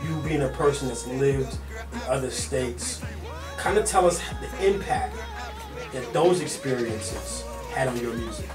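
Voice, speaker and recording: A man's voice: mid-range voice, a little slow, roomy sound, recorded from a distance, over music. A man hosting a hip-hop magazine interview, asking questions in an upbeat, hype style. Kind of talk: casual interview.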